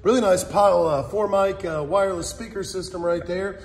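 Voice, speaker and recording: A man in his forties with a high-pitched voice presents auction goods in a casual, folksy way, running through items as he goes. He is filming on a phone, and his voice rings around a big, echoing warehouse hall. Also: moderate pace. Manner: folksy, addresses viewers as guys. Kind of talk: casual live walkthrough commentary, listing items. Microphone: handheld phone. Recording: echoey warehouse hall reverb.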